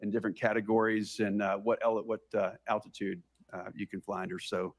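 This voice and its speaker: Brisk American senator, fairly low voice. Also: male voice, flat delivery. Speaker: senator questioning a nominee. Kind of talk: formal hearing questioning.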